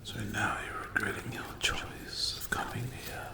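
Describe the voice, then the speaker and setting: A man voicing a sinister, predatory demon in a dark fantasy ASMR roleplay, taunting mockingly in breathy whispers. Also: roomy sound, lightly acted. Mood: mocking menace. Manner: slow, menacing, mocking, silky taunts.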